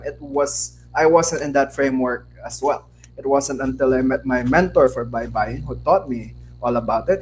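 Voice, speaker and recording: A man with a Filipino accent, a scholar speaking in a measured, thoughtful way with fillers in a casual conversation. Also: medium pitch, a little mellow, slight background noise, rough recording. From Philippines. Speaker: scholar and co-author. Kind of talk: casual interview chat.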